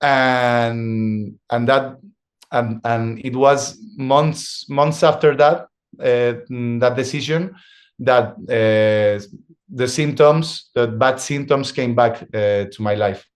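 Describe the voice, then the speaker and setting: Spanish-accented man, halting and searching. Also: mid-range voice. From Spain. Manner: halting, searching for words with many restarts. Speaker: guest. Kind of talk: conversational interview.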